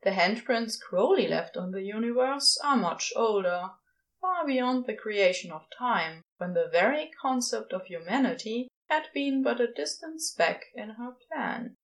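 A woman reading a fan fiction story aloud as expressive scripted narration. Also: fairly high pitch, measured pace, low-quality recording. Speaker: podfic reader.

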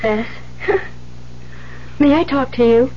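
A sweetly proper young woman fresh from the convent. She is high-voiced, careful and earnest. The recording is dull with faint noise. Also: slow. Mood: gentle, concerned. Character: gentle, earnest, proper young former nun. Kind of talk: 1970s radio drama acting. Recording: muffled, noisy 1970s radio recording.

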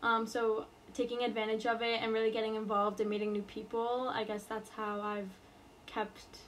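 A young college-age woman with a fairly high voice talks in a relaxed, halting way, full of "um" and "I guess" between her thoughts. A faint hiss of noise sits behind her. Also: rough recording. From New York. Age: college student. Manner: hesitant, many fillers and restarts. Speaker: interviewee. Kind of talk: casual interview.

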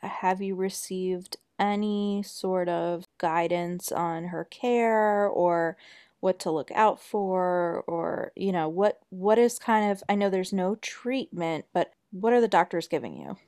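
A woman hosts the interview, feeling her way into questions and rephrasing them as she asks. Her voice sits a little low and sounds dry, recorded close with no room around it. She has an easy conversational manner. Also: takes it slowly, a little mellow, clean tone, no room sound. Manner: thoughtful, searching questions with restarts. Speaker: podcast host.

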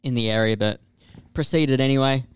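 A man co-hosting a casual podcast, upbeat and chatty, quick to react. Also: mid-range voice, clean tone, no echo. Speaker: podcast co-host.

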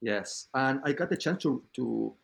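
A man from Argentina, a guest DJ talking in an animated, filler-heavy way in a casual interview. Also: medium pitch, measured pace, gravelly. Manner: animated storyteller, many fillers like "like".

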